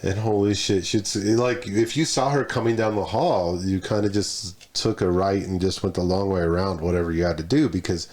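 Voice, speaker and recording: A man's voice: low-pitched, fairly even intonation, normal speed, hardly any pauses, a little mellow. A man co-hosting a podcast in casual chat, joking and swearing as he tells stories. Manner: profane, joking, storytelling. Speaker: podcast co-host.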